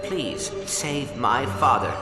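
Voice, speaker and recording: An earnest little robot, mid-pitched and expressive, echoing with music behind. He is polite and precise, every phrase formal, in a video game manner. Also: slow. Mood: urgent, earnest. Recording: echoey hall, music behind.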